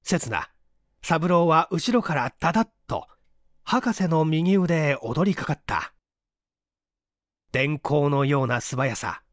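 A man's voice: on the high side, dry recording, studio quality. A man reading a mystery novel aloud as dramatic scripted narration. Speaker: audiobook reader.

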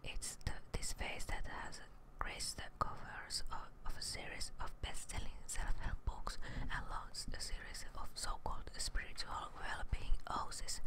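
A young adult woman speaking in a breathy whisper close to the microphone as she does an ASMR game walkthrough, reading and commenting haltingly. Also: poor audio. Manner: halting reader, stumbles over longer words. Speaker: gaming streamer. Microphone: close studio microphone in front of face, headset worn.

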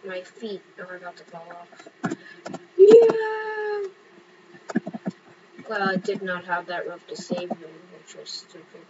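A woman's voice: mid-range voice, huge pitch swings, slow, long pauses. A young woman casually narrating her own game play, chatting to herself and to other players. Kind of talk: casual gameplay commentary. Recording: dull lo-fi mic with clear background hum.

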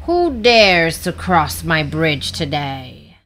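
A woman's voice: low-pitched, big pitch swings, takes it slowly, a bit bright, slight background noise, exaggerated voice. A woman voicing a menacing, grumpy male storybook troll with a snarling delivery.

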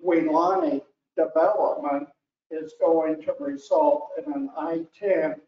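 Older guy slowly giving his comment at a public hearing, kinda halting, sounds far off in a big echoey room, muffled. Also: a little high, steady tone. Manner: slow, halting, with pauses and fillers. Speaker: public commenter, homeowners association president. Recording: distant, roomy, muffled hall mic.

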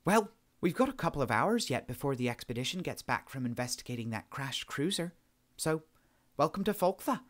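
A man's voice: mid-range voice, big pitch swings, fairly quick, pitched above the performer's own voice, natural voice. A man voicing a blunt, friendly, informal woman adventurer in an audiobook. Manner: informal, plain-spoken.

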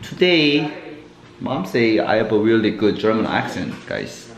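A man in his thirties hosting a family food vlog at his kitchen table, talking in a playful, joking, excitable way. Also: lowish voice, measured pace. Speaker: vlog host. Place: home kitchen table indoors. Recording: echoey room with dish clatter.

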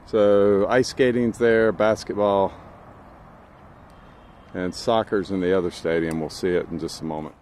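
A man's voice: low-pitched, fairly even intonation, measured pace, pauses often. A man hosting a travel vlog, musing casually and conversationally as he hikes, recorded outdoors on a handheld camera. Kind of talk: casual hiking commentary. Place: outdoors on a mountain trail.

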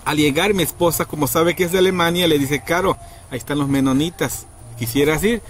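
A middle-aged man casually telling a story outdoors, acting out quoted people with sudden high pitched voices. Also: fairly quick. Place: outdoors under trees in a rural yard. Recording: outdoor noise in background.

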